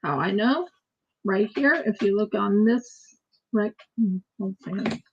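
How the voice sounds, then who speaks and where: A woman's voice: mid-range voice, measured pace, a little mellow, dull audio, a little hiss or hum. An American woman chatting casually and rambling as she crafts on a livestream, often repeating her words. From United States. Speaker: craft streamer.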